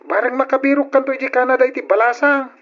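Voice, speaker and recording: A man playing a teasing, excitable young male cousin in an old radio drama, talking in an animated way. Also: very high voice, quick pace, highs cut off.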